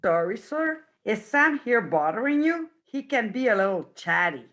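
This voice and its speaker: Higher-voiced woman reading a deferential bartender. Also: big pitch swings, moderate pace, fairly bright tone. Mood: polite. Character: burly male bartender, deferential, intervening. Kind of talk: amateur table read of TV drama.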